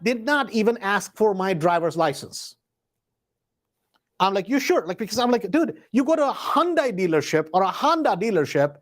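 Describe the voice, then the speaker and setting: A man hosting a casual storytelling talk, retelling an anecdote in an animated, emphatic way with acted-out dialogue and slangy phrasing. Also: high voice. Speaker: host.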